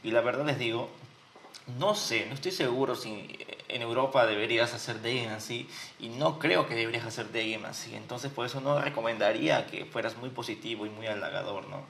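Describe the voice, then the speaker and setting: A man's voice: mid-range voice, fast talker, creaky, fairly bright tone, slight background noise. A man with a Peruvian Spanish accent commenting on a game in a mocking, joking way. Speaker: gaming host and dating instructor. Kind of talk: let's play commentary.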